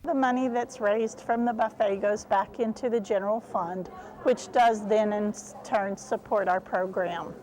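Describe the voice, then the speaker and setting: Woman explaining things in a measured way. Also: mid-range voice, fairly even intonation, darkish tone, a faint crowd, low-quality recording. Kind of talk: interview answer.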